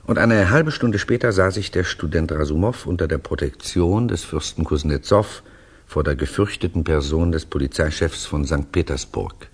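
An actor playing a reflective storyteller in a 1960s German radio play, narrating conversationally in a measured, wry, husky voice. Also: male voice, rumbling low, exaggerated voice. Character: reflective male storyteller recounting to a friend.

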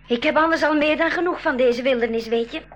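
A woman playing a spirited but apprehensive young girl, outspoken and expressive, in a school radio play. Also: sits high, fast, lightly acted. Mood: grumbling.

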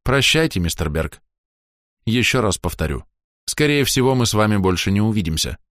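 A sceptical statesman in his forties , acted out by a male audiobook reader. He is direct and emphatic, with a low voice and a dry, close sound. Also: very animated, normal speed, fairly bright tone, dry recording. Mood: resigned farewell.

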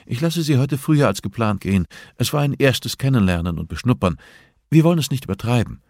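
A man voicing a composed, assertive female prison therapist in an audiobook, speaking in a measured, instructive way. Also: medium pitch, animated, darkish tone, dry recording, clean studio sound, voice pushed up. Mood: businesslike. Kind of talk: audiobook character dialogue.

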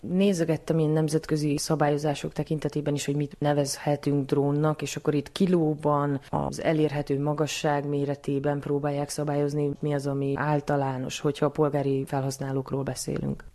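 A woman's voice: deep voice, completely flat delivery, dark tone, no echo. A woman radio host and editor who presents scripted narration and puts questions in an interview.

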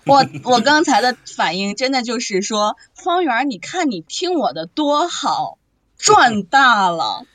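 A woman's voice: a little high, very animated, unhurried, fairly bright tone, no room sound. A woman hosting a casual live voice chat in a teasing, reassuring way, full of exclamations. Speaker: host of a radio drama cast chat. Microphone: online voice chat.

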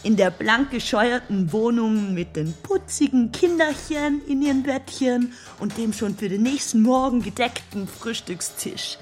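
A woman's voice: fairly high pitch, lively intonation, a little slow, hardly any pauses, soft background music. An actress playing a bitter, lovesick woman worn down by endless waiting, in an intense radio drama monologue. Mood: brooding, haunted. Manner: intense, brooding, building from hushed to agitated.

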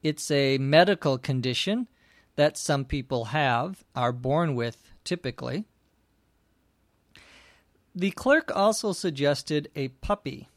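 Slow, deliberate male English teacher. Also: fairly high pitch, animated, no echo. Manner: slow, clear, deliberate teaching delivery with dry jokes. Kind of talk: scripted story and slow vocabulary explanation.

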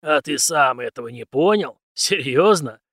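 A man's voice: medium pitch, expressive, unhurried, fairly bright tone, no echo, studio quality. A man voicing a stern, cynical, commanding mentor in an audiobook, lecturing emphatically with rhetorical questions. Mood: scornful, forceful. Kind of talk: audiobook character dialogue.

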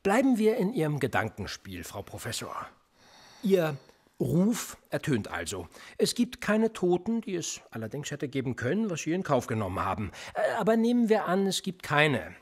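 A cool, manipulative police interrogator in a radio play. His voice is a little husky, and his pitch swings widely as he pushes a suspect with probing questions. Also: huge pitch swings, moderate pace, full character voice. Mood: pressing. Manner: probing and insistent, pressing with pointed questions. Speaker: police officer.

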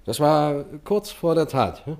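An actor playing a stern police investigator in a German radio crime drama, questioning in a clipped, insistent way. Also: male voice, fairly high pitch, expressive, a little slow, darkish tone.

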